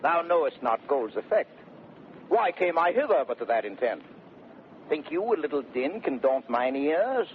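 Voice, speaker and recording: An actor playing a blustering, boastful young gentleman adventurer, declaiming in a 1930s radio Shakespeare play on a very tinny, noisy recording. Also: fairly high pitch, big pitch swings, moderate pace. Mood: boastful bravado. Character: swaggering, impudent young gentleman adventurer and fortune-seeker. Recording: very tinny vintage radio recording, faint noise.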